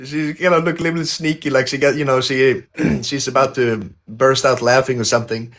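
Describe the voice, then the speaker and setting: A man hosting a casual interview podcast, asking relaxed, curious follow-up questions. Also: medium pitch, fairly quick, a little hiss or hum. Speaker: podcast host.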